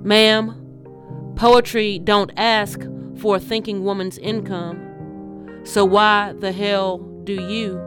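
A woman poet reading her poem aloud in a measured, deliberate way. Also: medium pitch, steady tone. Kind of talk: poetry reading. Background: music.

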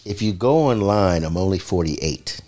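A man's voice: low-pitched, normal speed, warm tone. A man in his sixties, a father joining his daughter's podcast, talking casually and joking, often repeating words. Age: 60s. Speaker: podcast guest, father of the co-host.

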